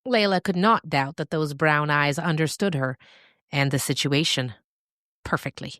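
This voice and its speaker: A woman hosting and narrating a story podcast in an expressive storybook style. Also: sits low, moderate pace, no room sound, clean studio sound.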